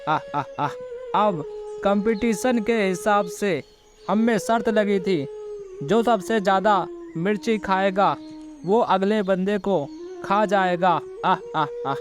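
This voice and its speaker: A gloating, treacherous vulture from a kids' cartoon, acted out by a man, hungry and scheming. He speaks slowly and fairly high, drawing out his hums and chuckles, over loud music. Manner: gloating, drawn-out laughs and hums. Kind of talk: children's cartoon story dialogue.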